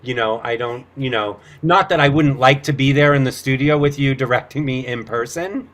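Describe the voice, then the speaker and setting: A man, a voice actor guest, chatting casually in a loose, halting, easygoing way. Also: medium pitch, fairly bright tone. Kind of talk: casual chat.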